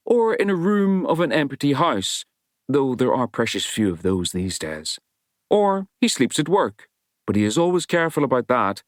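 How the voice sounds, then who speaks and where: A man reading a novel as an audiobook narrator, in a wry storytelling style with measured, dramatic emphasis. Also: mid-range voice, no echo, studio quality.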